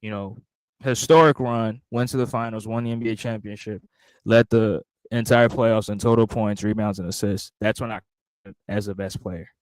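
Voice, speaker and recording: A man co-hosting a casual sports chat, speaking in a measured, hedging way. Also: on the low side, steady tone, clear, resonant tone, no echo, rough recording.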